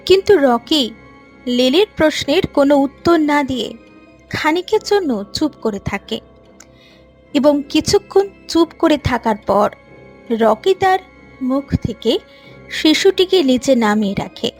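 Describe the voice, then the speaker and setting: Female storyteller, high voice, scripted narration, unhurried delivery, faint music behind. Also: expressive. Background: soft music.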